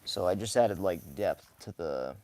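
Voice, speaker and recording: A hoarse young man talks casually while sketching live, rambling softly with many fillers and small laughs. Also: deep voice, lively intonation, measured pace. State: hoarse, scratchy from talking too much. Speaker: live-streaming sketch artist. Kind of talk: casual commentary while drawing.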